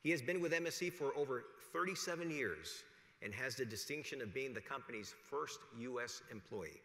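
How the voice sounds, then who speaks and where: An American man hosting a conference, reading a formal, measured introduction of a speaker to the room. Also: mid-range voice, sharp tone. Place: large conference hall, podium. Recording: echoey hall through PA.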